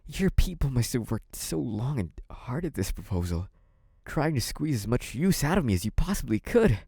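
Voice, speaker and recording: Guy acting a smug young mob boss, gravelly fry, swoopy taunting delivery, possessive n menacing audio roleplay. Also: mid-range voice, big pitch swings, mellow, dry recording, clean studio sound, full character voice. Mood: smug, cold. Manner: slow, taunting, mock-sweet, theatrical with amused chuckles. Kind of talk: scripted audio roleplay, intimate monologue to one listener.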